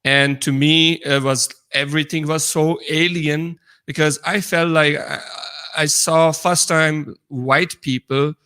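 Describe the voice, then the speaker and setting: A man with a Pakistani accent co-hosting a conversational podcast in English as a second language, halting and restarting his words. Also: on the high side, steady tone, sharp tone, clear, resonant tone. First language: not English. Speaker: podcast co-host. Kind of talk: conversational podcast talk about immigrant experiences.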